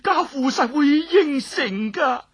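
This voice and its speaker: Audiobook coward, played by the narrator: rich young man, shrill, stammering, begging for mercy. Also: animated, slow, a bit bright, exaggerated voice. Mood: terrified pleading. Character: terrified, pleading young gentleman, pampered official's son.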